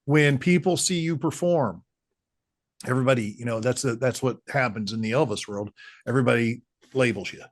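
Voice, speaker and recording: A male interviewer with an average-pitched voice keeps the talk casual. He sounds enthusiastic and encouraging as he asks his questions. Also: a little fast. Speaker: interviewer host.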